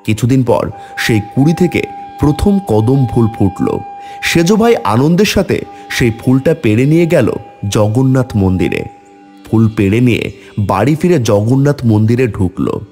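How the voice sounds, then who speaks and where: A man tells a scripted story as a dramatic narrator. His mid-range voice moves with very lively intonation. Faint music plays underneath. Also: mid-range voice, moderate pace.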